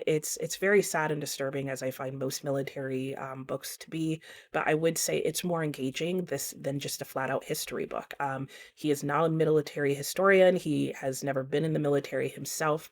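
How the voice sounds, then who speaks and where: Middle aged lady doing a casual book review vlog, lowish voice, kinda rambly and thoughtful.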